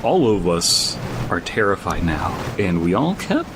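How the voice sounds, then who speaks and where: A man's voice: low voice, big pitch swings, unhurried, noisy background. A man co-hosting a podcast, chatting casually and reading a story aloud with joking, profane asides. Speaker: podcast co-host. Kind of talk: casual comedic chat and story read-aloud.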